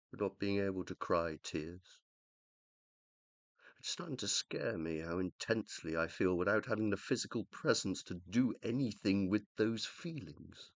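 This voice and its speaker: A man's voice: fairly low pitch, dry recording. An actor in a radio drama playing an anguished male scientist whose mind lives on after death, intense and questioning. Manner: intense, questioning, self-doubting. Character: anguished dead male scientist living as uploaded consciousness.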